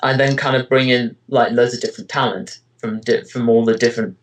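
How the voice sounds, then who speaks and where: A man's voice: medium pitch, steady tone, fairly quick, rough recording. A man answering interview questions in casual chat, rambling hesitantly with lots of filler phrases. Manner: hesitant, rambling delivery with frequent "you know" and "kind of". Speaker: interviewee, amateur voice actor and web parody creator.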